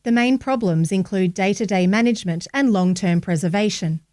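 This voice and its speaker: A woman's voice: medium pitch, moderate pace, dark tone, no room sound. Woman, mid-range voice, scripted article narration, close dry recording. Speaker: reader of a local newspaper article. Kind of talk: scripted narration, read aloud.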